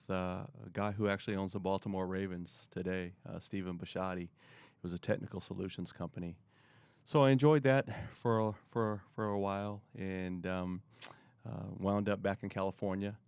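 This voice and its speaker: A middle-aged man answering interview questions in a relaxed, rambling way, often pausing with fillers. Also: sits low, smooth, no echo. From born in Korea, raised in the US. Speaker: guest, marketing expert.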